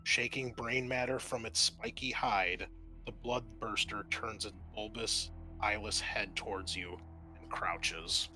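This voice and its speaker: A man runs a horror tabletop game as game master. He narrates slowly in a bright, forceful voice. Music plays underneath him. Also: on the low side, steady tone.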